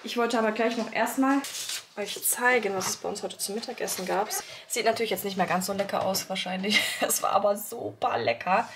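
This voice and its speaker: A woman in her thirties with a slightly hoarse voice from a cold, chatting casually to viewers about her day at home while filming herself with a phone held at arm's length. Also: mid-range voice, steady tone, fairly quick, noisy background. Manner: chatty, talks straight to viewers with many fillers. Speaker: family vlogger, mother of three. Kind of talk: casual vlog chat to camera. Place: child's bedroom and rooms at home, indoors. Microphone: phone camera held at arm's length.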